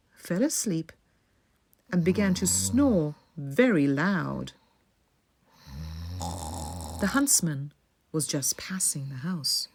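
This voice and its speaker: A woman storyteller narrating a fairy tale for sleep in a soothing, measured way, often hushing the ends of phrases. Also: lowish voice, slight background noise. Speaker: bedtime storyteller.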